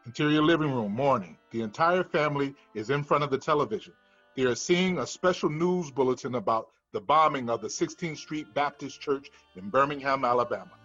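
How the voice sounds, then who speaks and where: A man reading scripted screenplay directions as narration, in a husky voice. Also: mid-range voice, animated, a little fast, a bit bright. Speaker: stage directions reader. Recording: dull lo-fi room recording, faint music.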